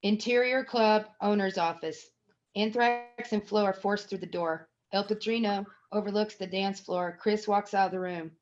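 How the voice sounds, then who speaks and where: A woman's voice: medium pitch, fairly even intonation, normal speed, muffled recording. A woman reading stage directions as the narrator of a scripted screenplay table read.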